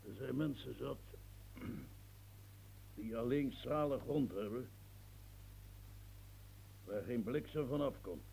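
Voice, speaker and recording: A man's voice: medium pitch, slow pace, gravelly, pauses often, warm tone, slight background noise, poor audio. An actor playing a blunt, irritable old marquis in his seventies with a cold, dictating in a coarse, rustic way. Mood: muttering. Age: 70s. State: snotty cold, coughing. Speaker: elderly marshal dictating. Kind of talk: radio drama acting.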